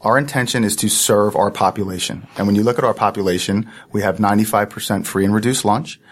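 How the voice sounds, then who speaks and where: A man's voice: lowish voice, steady tone, fast. A man who is a charter school principal, speaking as an interview guest with eager, earnest advocacy.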